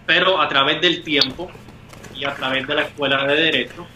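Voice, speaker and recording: A man's voice: fairly high pitch, steady tone, moderate pace, background noise. A man with a Puerto Rican accent answering as a guest over a video call, earnest, with "eh" fillers between sentences. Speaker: guest, lawyer. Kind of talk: interview answers. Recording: roomy, dull video call audio.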